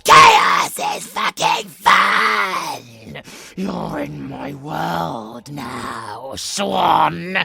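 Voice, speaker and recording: An actor voicing a gleefully deranged, bloodthirsty immortal vampire monster in a horror audio roleplay, gravelly and growling, foul-mouthed and taunting. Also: mid-range voice, drawn-out words, hardly any pauses, heavily acted. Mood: manic bloodlust. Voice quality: gravelly, growling, breathy cackles. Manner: foul-mouthed, taunting, manic, swinging from whispers to shouts.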